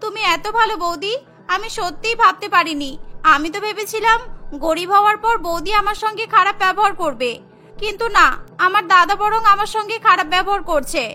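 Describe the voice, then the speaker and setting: A woman's voice: very high voice, fairly quick, sharp tone, soft background music. A woman voicing a meek, sorrowful orphaned younger sister in a cartoon, plaintive and emotive. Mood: moved, plaintive. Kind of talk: Bengali cartoon dubbing.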